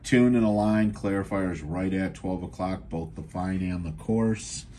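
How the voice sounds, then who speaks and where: An American man giving a casual, unscripted equipment demonstration in a laid-back, halting, matter-of-fact way, recorded indoors on a handheld camera mic. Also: sits low, mellow, slight background noise. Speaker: seller demonstrating a restored CB radio. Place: indoors at a radio bench.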